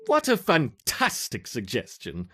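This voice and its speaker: A man's voice: mid-range voice, huge pitch swings, a little slow, pushed and bright, husky, heavily acted. A man playing a theatrical video game scientist who relishes cruel experiments on ants, with a mock-innocent manner. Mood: smugly deferential. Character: gleefully sinister male scientist running cruel ant experiments. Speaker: lab researcher character. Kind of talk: video game character acting.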